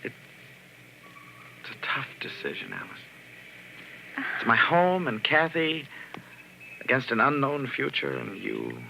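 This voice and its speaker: An actor playing an evasive, tense husband torn between two women, brusque and dramatic in 1940s radio style. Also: male voice, mid-range voice, wildly swooping pitch, a little slow, creaky, long pauses, sharp tone, slight background noise, heavily acted, low-quality recording. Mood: pleading. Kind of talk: 1940s radio melodrama acting.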